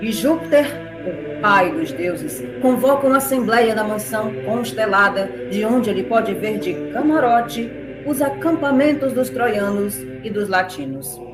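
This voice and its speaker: A woman tells a comic mock-epic in a mid-pitched voice, roomy and echoing, with music clearly behind her. Her narration is theatrical and playful, sliding into gossipy asides. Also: expressive, unhurried, hardly any pauses. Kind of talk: scripted comedic storytelling narration for audio drama. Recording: roomy echo.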